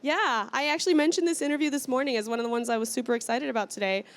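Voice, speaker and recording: A woman from Iceland with a fairly high voice hosts a trade-show interview. She talks casually and with enthusiasm, keeping her questions informal. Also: very fast. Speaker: interview host.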